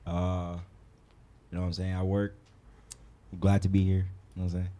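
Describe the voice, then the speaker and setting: A young man of about twenty chats as a guest in a laid-back, slangy way. The voice is deep and dark, with very little movement in pitch. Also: monotone, brisk. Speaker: talk show guest. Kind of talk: casual chat.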